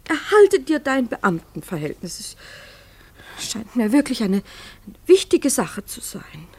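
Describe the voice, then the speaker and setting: An actress playing a naive, anxious, soft-hearted schoolteacher's wife, earnest and pleading, in a radio play. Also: female voice, fairly high pitch, very animated, takes it slowly, breathy edge, exaggerated voice. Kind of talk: German radio play acting.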